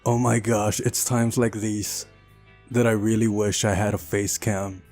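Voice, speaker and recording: A man with a fairly low voice reads through a visual novel as a let's play host. He slips between narration and incredulous, exasperated commentary, with game music faintly behind him. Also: level tone, measured pace, a little mellow. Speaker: video game playthrough host.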